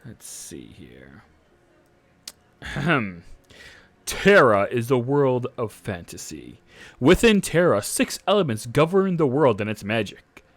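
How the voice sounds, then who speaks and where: Young male DM, unhurried and casual, reads lore in a close, dry booth sound. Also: mid-range voice, sing-song, no echo. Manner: unhurried, casual with frequent "uh" and "all right". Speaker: dungeon master. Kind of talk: game master reading a setting synopsis to a live stream.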